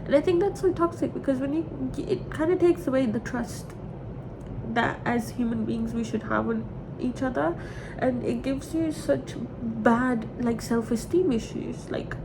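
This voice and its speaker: A woman in her twenties talking candidly and emotionally in a rambling personal confession, repeating herself for emphasis. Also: measured pace. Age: 20s. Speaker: vlogger. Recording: clearly noisy with low rumble.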